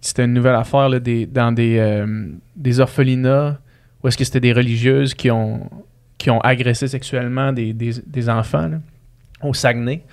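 A man's voice: mid-range voice, steady tone. A man with a Québécois French accent, hosting a podcast in a casual, chatty way. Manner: casual, chatty, quick backchannels. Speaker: podcast host.

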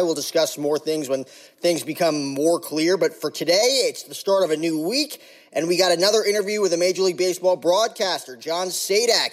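A man hosting a sports podcast, talking about baseball in an energetic, hyped way with casual slang. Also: fairly high pitch. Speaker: podcast host. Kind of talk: casual podcast intro and interview.

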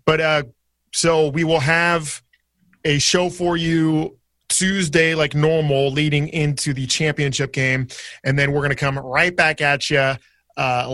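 A man's voice: on the high side, fairly bright tone, dry recording. A man co-hosting a casual sports podcast, chatty and jokey as he steers the segments. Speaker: podcast co-host.

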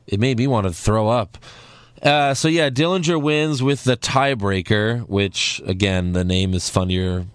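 A man's voice: on the low side, measured pace, smooth, dry recording. A man co-hosting a podcast, recapping a wrestling show in casual, sarcastic chat. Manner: laid-back, sarcastic, lots of fillers.

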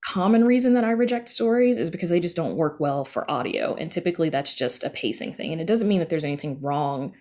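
A woman with a low-pitched voice speaks as a guest in a casual interview. She explains things in a chatty way, often dropping in small conversational asides as she goes. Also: lively intonation, fast talker, hardly any pauses, darkish tone. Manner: chatty, frequent "you know" asides. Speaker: interview guest, podcast creator and story editor.

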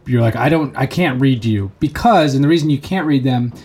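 A man's voice: mid-range voice, a little fast, a little mellow, breathy edge. A man in a casual group chat who teases the others and tosses in joking remarks. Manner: teasing and joking, throws in wisecracks.

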